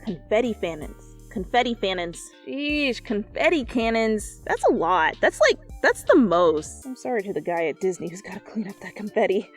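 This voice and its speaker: Animated woman reading a story aloud. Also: medium pitch, normal speed, hardly any pauses, faint music behind. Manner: animated, theatrical. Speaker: amateur dramatic fan fiction reader. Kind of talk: expressive story narration.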